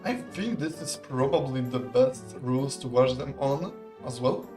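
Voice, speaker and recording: A man's voice: medium pitch, measured pace, music in the background. Man casting a strategy game. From Poland. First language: not English. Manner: relaxed, chatty, laughs often, analytical. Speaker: esports caster. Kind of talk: live game commentary.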